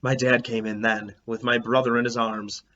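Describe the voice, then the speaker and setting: A man reading a children's book aloud in an animated, expressive way, as a teacher would. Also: medium pitch, normal speed. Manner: expressive, acts out dialogue. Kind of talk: animated storybook read-aloud. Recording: dull lo-fi, clear background noise.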